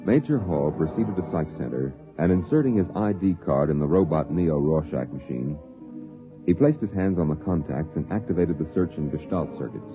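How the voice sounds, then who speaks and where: A man narrating a scripted 1950s radio drama in a formal, deliberate storytelling manner. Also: extremely low pitch, animated. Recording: tinny, phone-like old radio, music behind.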